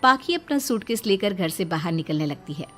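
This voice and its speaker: A woman's voice: mid-range voice, sing-song, a little fast, sharp tone, faint music behind. A woman reading scripted narration for a cartoon moral story.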